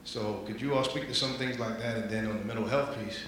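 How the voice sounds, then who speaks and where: A middle-aged man in the audience speaks earnestly and pointedly to a panel, pausing often. His voice sits fairly low. It rings through a very echoey hall over a faint hiss. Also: steady tone, fairly bright tone, distant mic. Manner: earnest, pointed, with long pauses. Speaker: audience member asking a question. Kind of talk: question from the floor.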